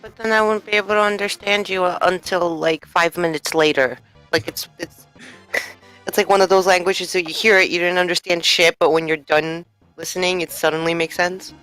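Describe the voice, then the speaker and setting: A woman with a somewhat low voice chats on a live speedrun stream. She tells rambling stories full of fillers and little laughs. Faint game music plays behind her. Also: fairly even intonation, moderate pace, a bit bright, clear, resonant tone, poor audio. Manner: chatty, giggly storytelling with many fillers. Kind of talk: casual stream chat.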